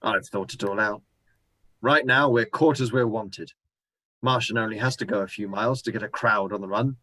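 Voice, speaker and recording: A man's voice: fairly low pitch, moderate pace, sharp tone, dry recording, rough recording. A man playing a grim, scheming ex-soldier survivor. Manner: brooding, intense, preaching his plan. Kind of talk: amateur radio drama acting. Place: home room, recorded remotely.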